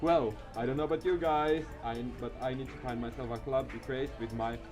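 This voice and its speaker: Guy doing a showy teen boy from a visual novel, pompous and theatrical, loud music under it. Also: medium pitch, fairly even intonation, a little fast, lightly acted. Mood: pompous swagger. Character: grandiose, flamboyant teenage schoolboy show-off.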